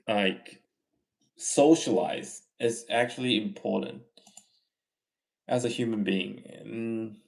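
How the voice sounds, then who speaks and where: A young man from Malaysia hosting a casual livestream, reading viewer comments and giving earnest advice in a slow, halting way. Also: medium pitch, gravelly, rough recording. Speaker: livestream host.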